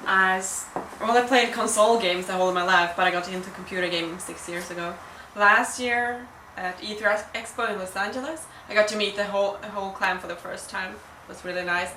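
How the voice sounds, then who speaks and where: Swedish woman gamer, English with accent, bright tone, distant echoey recording. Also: medium pitch, a little fast, slight background noise. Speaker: pro gamer. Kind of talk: casual interview. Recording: echoey room, mic at a distance.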